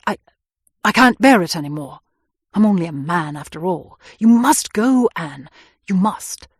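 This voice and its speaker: A woman doing a husky, brooding hunted man. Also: lowish voice, animated, a little slow, dry recording, studio quality, heavily acted. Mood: vehement. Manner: vehement outbursts, then hushed tender pleading. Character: anguished young man, hunted fugitive, passionate and brooding.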